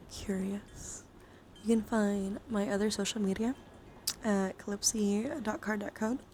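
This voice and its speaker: Soft, whispery woman with gravelly fry. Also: mid-range voice, slight background noise. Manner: hushed, often slipping into whisper. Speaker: livestreamer. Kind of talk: soft-spoken ASMR stream chat.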